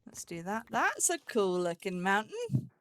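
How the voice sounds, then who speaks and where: A woman's voice: medium pitch, wildly swooping pitch, measured pace, a little hiss or hum. A woman giving casual, rambling gameplay commentary, thinking aloud with a playful, self-chiding manner. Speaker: let's play host.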